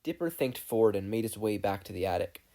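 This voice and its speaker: A narrator reading a fan fiction story aloud in an expressive, scripted style. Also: sits low, brisk. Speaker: podfic narrator.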